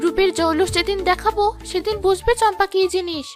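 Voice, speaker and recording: A woman's voice: very high-pitched, steady tone, a little fast, faint music behind. A woman voicing a vain, melodramatic young village wife in a cartoon moral story, complaining in a whiny, dramatic way. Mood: resentful, sulky.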